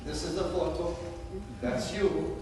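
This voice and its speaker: The recording is very reverberant, with clear noise in the hall. An older man with a Palestinian accent speaks very slowly in a slightly high voice. He delivers a deliberate public statement, pausing between short phrases. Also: level tone. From Jerusalem. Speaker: audience member addressing a senator. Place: large echoing hall at a public town hall. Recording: very echoey hall, distant mic, noisy.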